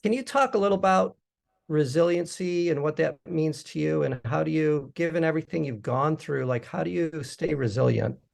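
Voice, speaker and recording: A man's voice: a little high, moderate pace, vocal fry. A man hosting an interview, asking questions and reading out viewer comments.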